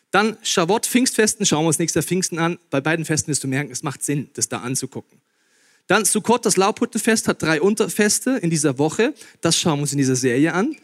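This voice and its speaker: A man in his late thirties preaching a lively, joking sermon to a live audience. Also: sits high. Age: 30s. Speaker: pastor. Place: church hall before a live audience.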